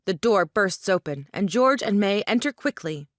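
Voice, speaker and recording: Female narrator for stage directions: scripted delivery, medium pitch, dry sound. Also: lively intonation, moderate pace, dry recording.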